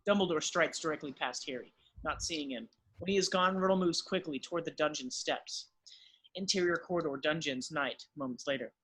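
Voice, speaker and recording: A man's voice: on the high side, fairly quick, pushed and bright, breathy edge. A man reading screenplay stage directions as narrator in an amateur table read.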